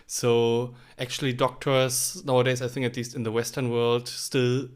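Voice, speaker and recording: A man's voice: mid-range voice, flat delivery, moderate pace. A young adult man with a German accent, co-hosting a casual podcast chat and explaining things in an easygoing way. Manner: easygoing, explanatory, fond of "like" and "actually". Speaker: podcast co-host.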